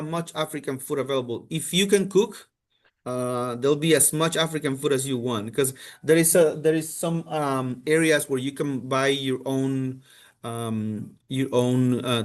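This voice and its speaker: A man from Colombia, a university representative, answering questions on a video call, with many restarts and fillers. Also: medium pitch. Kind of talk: casual answers in a virtual info session.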